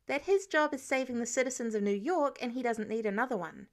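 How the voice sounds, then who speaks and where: A woman's voice: fairly high pitch, expressive, fast. A woman reading an audiobook, voicing a wary, cheeky young male student superhero. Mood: cheeky, challenging. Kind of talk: audiobook character dialogue.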